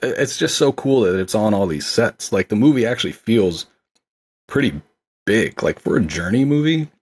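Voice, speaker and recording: Low husky-voiced man chatting about movies. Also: expressive, normal speed, darkish tone. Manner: enthusiastic, rambling with many fillers. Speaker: podcast co-host. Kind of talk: casual movie discussion.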